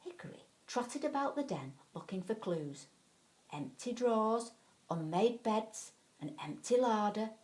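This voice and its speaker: A woman narrating a children's bedtime story in an expressive, dramatic way, leaning on key words. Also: on the high side, measured pace.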